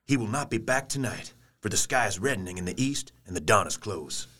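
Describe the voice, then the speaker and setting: An actor playing a grave, earnest young gentleman giving a report in a theatrical audio drama. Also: fairly low pitch, animated, a little fast. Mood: grim.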